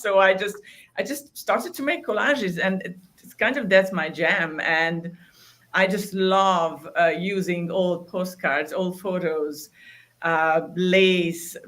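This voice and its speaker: A woman in her thirties from Hungary whose first language is Hungarian, a guest telling her story in a halting way with many fillers. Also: fairly low pitch. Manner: warm, halting, many "you know" fillers. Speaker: guest, collage artist. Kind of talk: personal storytelling in an interview.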